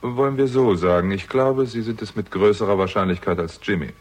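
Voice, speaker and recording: A man playing a sharp-witted young sleuth in a radio thriller. His voice is low and husky, and he probes with dry irony and cool sarcasm. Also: brisk, close to an everyday voice. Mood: ironic, probing. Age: young adult. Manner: dry, ironic, probing. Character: sardonic younger man, shrewd amateur investigator. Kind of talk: German radio crime drama acting.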